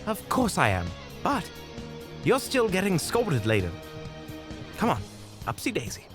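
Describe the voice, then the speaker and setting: An actor playing a kind-hearted monster hunter in an audio roleplay, dry, sarcastic and gently scolding. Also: medium pitch, huge pitch swings, unhurried, music in the background. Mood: wry scolding. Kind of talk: audio roleplay drama acting.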